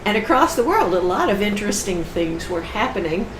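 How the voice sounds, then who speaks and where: Brief: woman author on a panel show, mid-pitched, talks at length, roomy sound with light hiss. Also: big pitch swings, a little fast. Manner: talkative, explains at length. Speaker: author guest. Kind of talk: casual panel chat.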